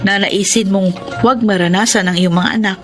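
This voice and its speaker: A woman's voice: mid-range voice, steady tone, a little fast, music in the background, natural voice. A woman playing a hardworking, humble and kindly Filipino mother in a radio drama. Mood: earnest, reflective.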